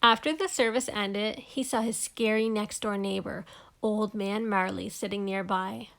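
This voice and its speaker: A woman reading a children's storybook aloud in an expressive narrating style. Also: mid-range voice, unhurried, gravelly. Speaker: storybook reader.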